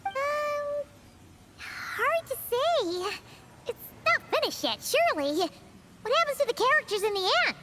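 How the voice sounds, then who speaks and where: A woman voicing a tiny, childlike floating companion in a video game, chirpy, curious and excitable. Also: extremely high pitch, unhurried, long pauses, background noise, heavily acted. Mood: hesitant. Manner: chirpy, expressive, exclaiming.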